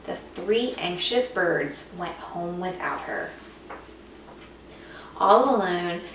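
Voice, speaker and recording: A woman reading a children's picture book aloud as a teacher would, with expressive, dramatic emphasis. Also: fairly low pitch, creaky. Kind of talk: expressive scripted storybook narration. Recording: echoey room, distant mic, faint noise.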